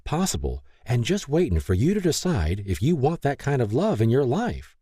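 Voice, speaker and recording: A man voicing a gentle, motherly woman who speaks softly and reassuringly in an audiobook. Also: mid-range voice, very animated, moderate pace, slightly rough, no room sound, clean studio sound, pitched above the performer's own voice. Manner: soft, reassuring, affectionate. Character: gentle, motherly older woman, kind host. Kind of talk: audiobook character reading.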